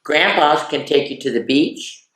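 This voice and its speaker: Lady reading picture books to kids, takes it real slow, chatty asides, kinda echoey room. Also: low voice, lively intonation, a bit bright. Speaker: children's story time host. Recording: echoey, roomy sound.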